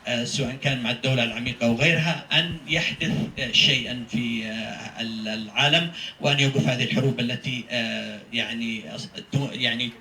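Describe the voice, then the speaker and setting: A man from Yemen giving a halting public statement at a press conference. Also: mid-range voice, fairly even intonation, bright, forceful tone. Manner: halting, with frequent hesitations. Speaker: human rights lawyer speaking at press conference. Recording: echoey room, distant mic, faint noise.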